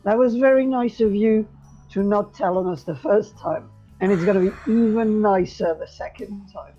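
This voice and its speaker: A woman's voice: mid-range voice, normal speed, warm tone, soft background music. A woman playing a tabletop game, hesitant and stammering. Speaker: player. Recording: dull lo-fi recording.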